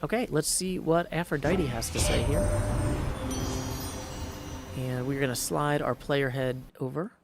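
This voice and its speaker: A man's voice: mid-range voice, a little slow, vocal fry, long pauses, loud music behind the voice. A man hosting a livestream with casual, rambling game commentary, addressing viewers and reading text aloud. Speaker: livestream host and gamer. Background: video game sound.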